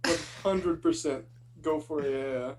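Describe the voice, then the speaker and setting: A co-host from Texas in a casual podcast chat, enthusiastic with quick reactions. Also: mid-range voice, a little hiss or hum.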